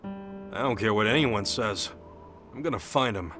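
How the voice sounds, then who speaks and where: An actor playing an earnest, rough-edged young American soldier devoted to his missing partner, speaking plainly and colloquially in a game cutscene. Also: fairly low pitch, animated, a little slow. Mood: fond, determined. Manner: colloquial, wistful, plain-spoken. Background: music.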